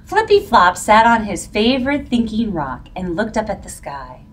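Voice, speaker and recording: A middle-aged woman reading a children's picture book aloud in an expressive, dramatic, drawn-out way. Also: medium pitch. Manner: animated, drawn-out, dramatic delivery for young children. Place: plain-walled indoor room. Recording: voice picked up from a distance.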